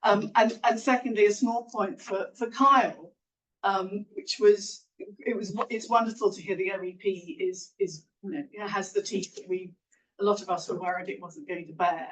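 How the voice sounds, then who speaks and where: A woman in the audience asking a long, halting question at a lecture and apologizing as she goes. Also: mid-range voice, steady tone, a little hiss or hum. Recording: distant mic.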